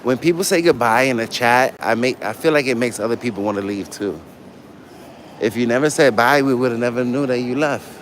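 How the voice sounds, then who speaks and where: A man's voice: mid-range voice, a little fast. A man livestreaming casually from a public building, chatting to viewers in blunt, slangy, profane talk. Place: public library building, on the move. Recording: noisy live handheld stream.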